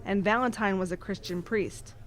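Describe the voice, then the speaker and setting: Lady playing a nice nun telling saint stories, didactic n measured, audio drama, some background noise. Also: mid-range voice, lightly acted, rough recording. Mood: explaining.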